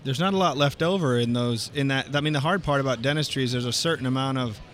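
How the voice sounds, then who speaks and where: A man's voice: medium pitch, quick pace, a bit bright. A man hosting a podcast interview, talking casually and loosely, with frequent restarts. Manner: friendly, loose, many restarts. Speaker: podcast host.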